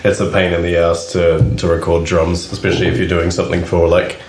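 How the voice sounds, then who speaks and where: Low-voiced man in casual chat. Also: monotone, fairly quick, a little mellow, echoey room, slight background noise. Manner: frank, swears freely, laughs a lot. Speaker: podcast co-host.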